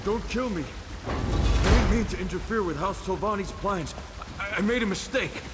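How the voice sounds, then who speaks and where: An actor playing a boastful but cowardly cultist in a video game, theatrical and blustering. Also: male voice, high-pitched, moderate pace, close to an everyday voice. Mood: pleading, cowardly. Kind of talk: video game character acting. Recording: echoey, distant, loud background noise.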